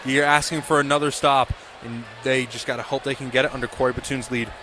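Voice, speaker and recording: A man's voice: medium pitch, little pitch movement, fast. An American man giving emphatic, opinionated color commentary during a live football radio broadcast. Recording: loud stadium and outdoor ambient noise.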